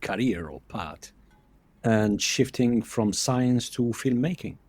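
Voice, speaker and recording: A man's voice: medium pitch, expressive, takes it slowly, darkish tone. A man hosting a podcast interview, putting questions and short acknowledgements to his guest in a conversational style.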